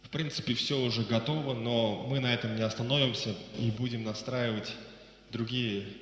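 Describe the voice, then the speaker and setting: A man narrates a step-by-step software tutorial as its presenter. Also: medium pitch, fairly even intonation, normal speed. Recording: very echoey reverberant voice-over, music behind.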